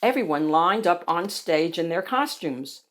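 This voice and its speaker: A woman reading a children's picture book aloud with expressive, clearly phrased narration. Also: fairly low pitch, unhurried. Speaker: storybook reader.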